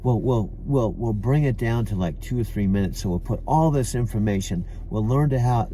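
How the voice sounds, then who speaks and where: Older Californian guy, deep and very mellow, rambling self-talk in his parked car, keeps calling himself dude. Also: a little hiss or hum. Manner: talks to himself as "dude", many restarts. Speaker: vlogger.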